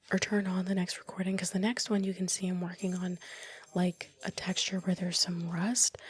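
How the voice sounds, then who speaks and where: A woman whispering softly in a casual, reflective ASMR commentary, close to the microphone with a breathy voice. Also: lowish voice. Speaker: ASMR creator narrating own project. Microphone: close, dry microphone.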